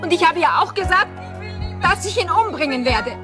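An actress playing a fragile, bitter young woman in a crime radio play, confessing in a halting, emotional way. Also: extremely high pitch, lively intonation. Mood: desperate. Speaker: actor. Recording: music under the voice.

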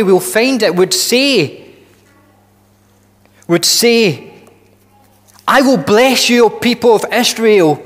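A man in his thirties preaching a sermon in a church hall on a headset mic, earnest and deliberate, often restarting and building emphasis. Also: high voice, fairly bright tone. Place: church hall with long reverb.

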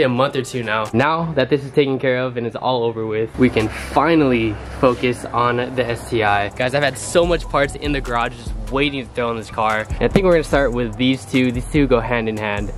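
A young man chats casually to his camera in a driveway, upbeat and calling his viewers "guys". His voice is mid-pitched and a little nasal from a cold. Music plays under him. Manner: upbeat, says "guys" a lot. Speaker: car vlogger. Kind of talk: casual vlog chat. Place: driveway and garage, outdoors.

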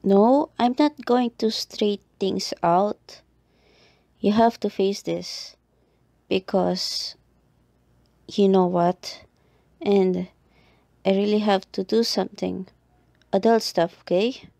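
A woman playing a reluctant, flustered tsundere girl in an ASMR roleplay, speaking haltingly in short clipped phrases. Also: mid-range voice, creaky, mellow, no room sound, studio quality. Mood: insistent.

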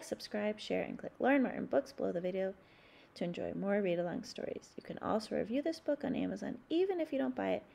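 A woman reading aloud as a children's book host, in deliberate, expressive phrasing meant for young listeners. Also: medium pitch, vocal fry. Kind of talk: read-aloud storybook narration with discussion questions.

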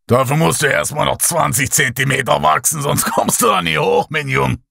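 Man doing a gravelly joking sailor. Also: on the high side, moderate pace, pushed and bright, no echo, clean studio sound, voice pushed up, exaggerated voice. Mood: mocking.

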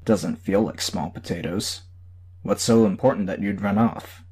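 A man's voice: fairly low pitch, measured pace, a little mellow, slightly rough. A man voicing a sceptical, suave male superhero in an audiobook. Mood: sceptical, resigned.

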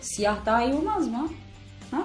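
Animated woman chatting in an echoey room. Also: on the high side, normal speed, music in the background. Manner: playful, exclaiming. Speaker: video host. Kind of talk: playful casual chat during a makeup challenge.

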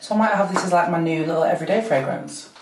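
Brief: deep-voiced woman in her twenties, gushing vlog chat, echoey room, camera set back. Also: quick pace, far from the mic, background noise. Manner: chatty, gushing, giggly. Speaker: vlogger. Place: home bathroom at night, then a bright kitchen. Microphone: camera on a low stand.

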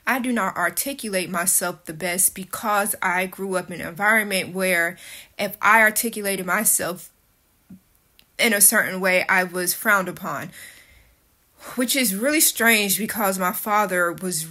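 A woman's voice: mid-range voice, unhurried, sharp tone. Young woman w a speech impediment, blunt casual vlog talk, phone cam in her kitchen. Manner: candid, blunt, casual with cursing. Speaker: vlogger. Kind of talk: casual storytelling to camera. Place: kitchen and living room at home, indoors. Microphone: phone camera held close.

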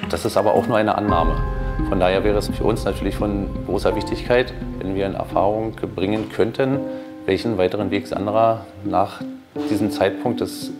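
A low-voiced man speaks as a police investigator. His statement is formal and stiffly phrased, and music is clearly heard behind it. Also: fairly even intonation, normal speed.

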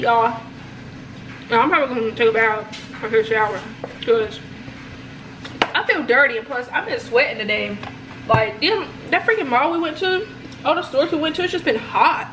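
A woman's voice: on the high side, background noise. Chatty young woman vlogging in an echoey room. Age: young adult. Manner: chatty, rambling, says "y'all" a lot. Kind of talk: casual chat to camera. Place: home room indoors, bathroom later. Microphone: phone or camera propped low, close.

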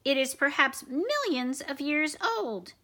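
A woman's voice: sits high, animated, slow pace, pushed and bright, full character voice. A woman voicing an earnest mouse scientist in a storybook reading.

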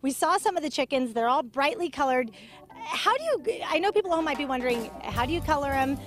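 A woman's voice: sits high, lively intonation, fast talker, faint music behind. An American woman in her thirties, a chatty TV field reporter doing a live morning interview outdoors on a handheld microphone. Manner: chatty, conversational. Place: outdoors in a dry hillside yard.

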